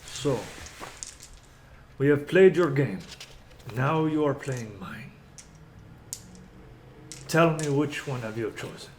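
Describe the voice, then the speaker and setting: A man playing a menacing, condescending dark lord in a tabletop game, speaking deliberately and tauntingly. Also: on the high side. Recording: faint background noise.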